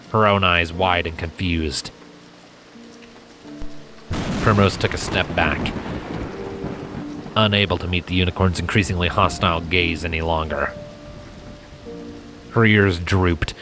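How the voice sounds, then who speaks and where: A man's voice: deep voice, sharp tone. A man reading fan fiction as a dramatic, scripted storybook narration. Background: music and rain effects.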